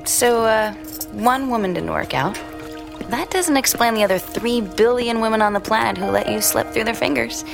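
A woman's voice: a little high, moderate pace, creaky, over music. An actress playing a chatty, flirtatious but evasive single woman in an audio drama, with a coy, teasing manner. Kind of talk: naturalistic audio drama acting.

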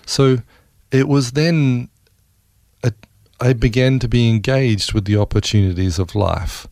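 A man's voice: medium pitch, a little slow, vocal fry, darkish tone, no echo, clean studio sound. A middle-aged man with an Australian accent, telling his personal story as an interview guest in an earnest, emphatic way, repeating phrases for stress. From rural New South Wales, Australia. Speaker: interview guest, ministry CEO and former army serviceman. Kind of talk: personal testimony in a conversational interview.

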